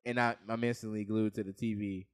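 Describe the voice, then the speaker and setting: A man's voice: lowish voice, flat delivery, a little fast, crowd noise. A man co-hosting a podcast in casual chat, playful, laughing often and stammering on restarts. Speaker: podcast co-host.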